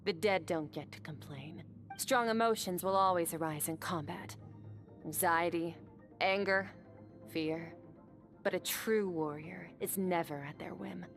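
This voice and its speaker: A woman's voice: fairly low pitch, natural voice. A woman voicing a cool, stern sword mentor. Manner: calm, detached, measured. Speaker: combat trainer.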